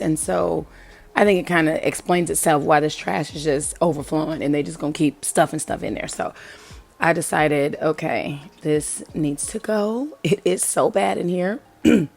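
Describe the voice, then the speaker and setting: Hoarse, gravelly-voiced woman vlogger. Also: on the low side, a little mellow. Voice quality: gravelly, husky. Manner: chatty, rambling, asks viewers questions. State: hoarse from illness. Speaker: home decor vlogger. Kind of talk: casual voice-over chat.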